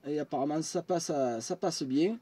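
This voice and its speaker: A man's voice: fairly high pitch, normal speed. A man, a friendly and chatty amateur radio operator having a casual contact. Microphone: studio microphone at the radio. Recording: clear steady background noise.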